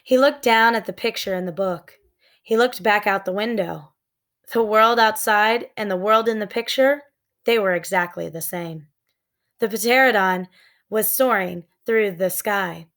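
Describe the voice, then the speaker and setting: A woman reading a children's story aloud as expressive narration, with measured, suspenseful phrasing. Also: medium pitch. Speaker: storybook reader.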